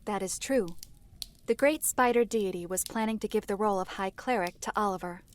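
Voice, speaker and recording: A woman playing a solemn mystical seer who narrates a fantasy drama in a measured, formal way. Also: medium pitch, natural voice. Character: solemn mystical female seer recounting past tragedies.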